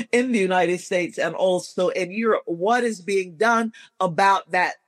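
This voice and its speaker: A man's voice: sits high, measured pace, fairly bright tone, no echo. A man hosting a current-affairs talk show, commenting and introducing guests earnestly in a conversational way. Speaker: radio show host.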